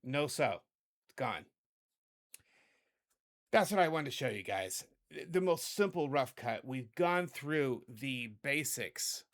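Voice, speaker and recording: A middle-aged man with a hoarse voice hosting a casual video editing tutorial, chatty and rambling, often correcting himself. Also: mid-range voice, lively intonation, slow, long pauses. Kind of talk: casual screen-recorded tutorial.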